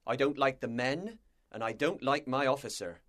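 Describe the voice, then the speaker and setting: A man's voice: mid-range voice, animated, moderate pace, a bit bright, voice pushed up, natural voice. A man voicing a stern, blunt, distrustful sea captain in a storybook, with a curt, commanding manner. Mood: grim, displeased. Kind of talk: storybook character dialogue.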